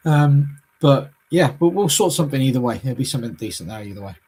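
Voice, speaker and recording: A man's voice: medium pitch, lively intonation, quick pace, a little mellow, slightly rough. A man co-hosting a casual chat, explaining plans in an easygoing, matter-of-fact way. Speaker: podcast co-host.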